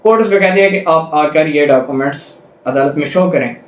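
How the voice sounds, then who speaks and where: Echoey, higher-pitched Pakistani male law lecturer. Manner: slow, deliberate English reading, quicker Urdu explanations with English legal terms. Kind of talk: lecture, reading statutes aloud then explaining. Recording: echoey room, distant microphone.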